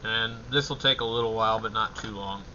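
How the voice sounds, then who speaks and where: A man hosting a casual livestream walkthrough, thinking aloud in halting, fragmented short phrases. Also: on the low side, steady tone, bright, forceful tone, dull audio, a little hiss or hum. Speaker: livestream host.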